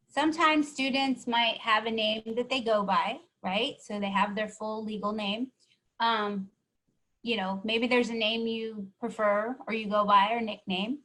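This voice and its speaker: A woman with a fairly high voice runs a workshop for students. She explains things patiently and keeps checking in with her listeners. She sounds encouraging throughout. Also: sharp tone, low-quality recording. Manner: encouraging, keeps asking "right?". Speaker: workshop presenter. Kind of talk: teaching a live online workshop.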